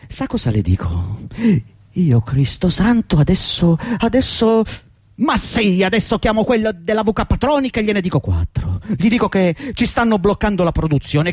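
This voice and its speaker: A man's voice: high-pitched, no echo, clean studio sound. An actor playing a middle-aged, servile and agitated long-time employee pleading with his boss in a fretful, rambling radio drama performance. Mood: exasperated. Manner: fretful, deferential, rambling and insistent. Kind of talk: theatrical radio drama acting.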